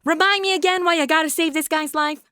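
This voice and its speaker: A woman's voice: very high voice, steady tone, fast talker, very noisy. A woman playing a sassy, sceptical commentator in a comedy audio drama, sarcastic and argumentative.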